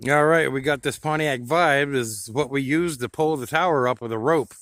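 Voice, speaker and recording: An American man with a mid-pitched voice narrates a casual hobby vlog. He is informal and plain-spoken, and the sound is dry with little room around it. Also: moderate pace, fairly bright tone, no room sound. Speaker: radio hobbyist vlogger.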